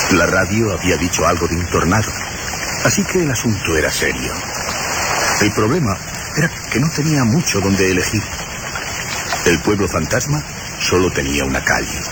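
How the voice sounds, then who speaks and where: Deep, dramatic male narrator over loud noise. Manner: dramatic, deliberate phrasing. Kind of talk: scripted suspense radio narration.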